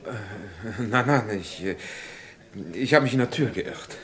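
An actor playing a timid, evasive secretary in a crime radio play, hesitant, stammering and subdued. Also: male voice, fairly low pitch, expressive, slow, fairly bright tone. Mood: nervous, evasive. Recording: faint background noise.

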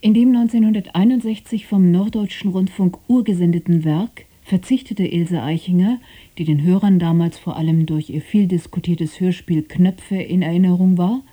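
A woman reading a scripted introduction to a radio play as its presenter, in a measured, formal manner. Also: fairly low pitch, fairly even intonation, warm tone. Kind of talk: scripted narration.

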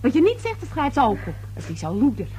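An actress playing a blunt, excitable, scolding woman with colloquial speech in a radio play. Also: high-pitched, quick pace, a little hiss or hum. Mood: scornful.

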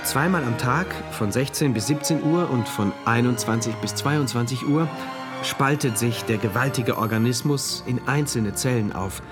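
A male actor as an earnest citizen of a regimented future state, confiding his diary in a radio play. He speaks precisely and with measured devotion, at a middling pitch, with music clearly present behind him. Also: close to an everyday voice. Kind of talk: first-person radio drama narration, diary entries.

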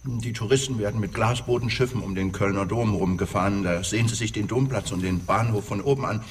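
An actor playing a stranded traveller and former science editor, sober, questioning and plain-spoken, in a radio drama. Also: male voice, lowish voice, brisk, natural voice. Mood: grave. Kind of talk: German radio drama acting. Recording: faint background ambience noise.

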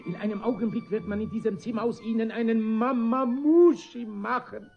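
An actor playing a sly, solemn trickster in a German radio play, flattering a gullible master in a theatrical, portentous, insinuating way. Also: male voice, very high-pitched, moderate pace, gravelly, soft background music. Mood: conspiratorial, grand. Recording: dull, muffled old radio recording.

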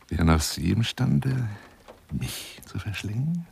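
A man's voice: on the low side, gravelly, slightly rough, full character voice. An actor playing an eccentric, obsessive lion hunter who woos a woman by telephone in an effusive, insinuating radio play monologue. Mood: feverish, seductive. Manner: effusive, insinuating, swinging between whispers and exclamations.

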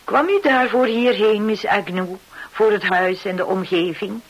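A woman's voice: mid-range voice, measured pace, lightly acted, poor audio. A woman acting in a Dutch radio drama, playing a shrewd, self-satisfied hotel owner who tells her story with knowing theatricality. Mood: smug storytelling. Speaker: actor in a radio play.

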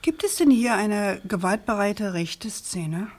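An actress playing a persistent, sceptical journalist in a radio crime drama, speaking in a probing, deliberate and emphatic way. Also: female voice, mid-range voice, animated, a little mellow. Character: persistent, sceptical female journalist questioning a prosecutor.

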